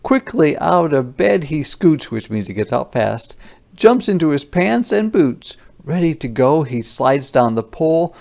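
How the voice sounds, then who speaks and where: A man with a creaky voice reading a children's picture book aloud and pausing to explain words simply. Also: fairly high pitch, very animated, a little mellow.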